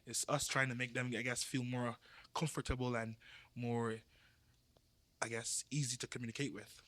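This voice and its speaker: A man's voice: mid-range voice, little pitch movement, moderate pace, no echo. A young man from Barbados with a Barbadian accent, explaining his views earnestly in a casual youth podcast discussion. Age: young adult. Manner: earnest, explanatory, trails off quietly.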